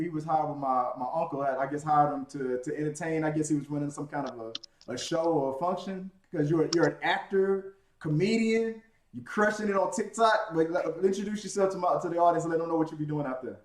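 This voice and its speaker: A man's voice: a little high, a little fast. A man from Houston hosting a casual live-stream chat, loose and joking with many restarts. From Houston, Texas. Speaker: podcast host. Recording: echoey room.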